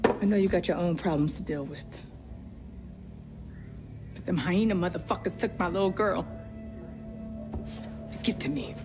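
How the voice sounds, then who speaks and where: A man's voice: high-pitched, normal speed, long pauses. An actor playing a desperate father in a video game, pleading but hard and grim, speaking over game music. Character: desperate father whose daughter was taken. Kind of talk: video game cutscene dialogue. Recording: game audio with music underneath.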